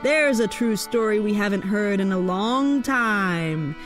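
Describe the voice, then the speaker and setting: Slow, incantatory woman storyteller over music. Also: medium pitch, expressive. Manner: slow, incantatory, drawn-out delivery. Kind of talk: slow poetic spoken-word narration. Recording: faint instrumental music underneath.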